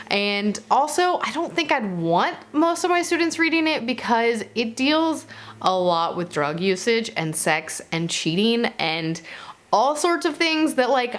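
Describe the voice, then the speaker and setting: A woman giving a casual, chatty book review to camera, informal and conversational, with playful asides and self-corrections. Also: a little high.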